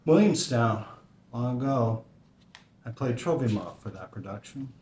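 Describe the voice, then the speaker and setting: An actor reading the part of a patient, fatherly woodworker who speaks in an unhurried, reflective way at a script table read. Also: mid-range voice, lively intonation, darkish tone, close to an everyday voice. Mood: reminiscing, curious. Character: patient, fatherly male woodworker, gently philosophical. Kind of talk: dramatic screenplay table read. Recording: echoey room with clear background noise.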